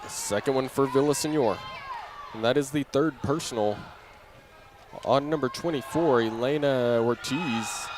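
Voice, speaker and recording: A man's voice: medium pitch, unhurried, crowd noise. A man doing live play-by-play basketball commentary in clipped, running phrases from a gym. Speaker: play-by-play announcer. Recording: noisy gym ambience, bouncing ball. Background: clear game noise and ball bounces.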